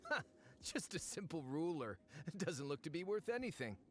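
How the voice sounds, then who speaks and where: A scornful, money-hungry man leading a treasure hunt in a video game. His voice is on the high side and swings widely as he blusters. He sounds haughty and dismissive. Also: sing-song, moderate pace, soft background music. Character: greedy, scornful man bankrolling a treasure expedition.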